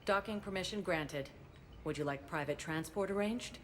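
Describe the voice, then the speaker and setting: A woman's voice: fairly low pitch, normal speed, close to an everyday voice. An actress playing a formal, courteous female docking controller in a sci-fi game, in long reverb with faint noise. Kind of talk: video game cinematic dialogue acting.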